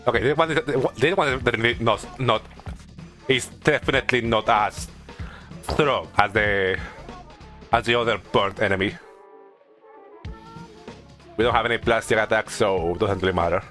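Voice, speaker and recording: Bright-voiced man doing halting game commentary. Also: lowish voice, soft background music. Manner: halting, repeats himself, thinks aloud. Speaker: video game streamer. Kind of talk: casual gameplay commentary.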